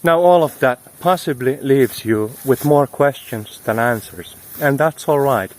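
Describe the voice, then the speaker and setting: A man's voice: medium pitch, unhurried. A man delivering a reflective spiritual monologue, with flowery, musing phrasing broken by slangy asides. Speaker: spiritual teacher hosting an audio series. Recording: noisy outdoor recording, wind and vehicle noise.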